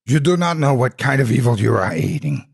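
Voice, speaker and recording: A man's voice: mid-range voice, animated, a little fast, creaky, breathy edge, voice pushed up. A man voicing a zealous woman demon hunter in a horror story, speaking in a grave, preachy, declamatory manner. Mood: urgent warning.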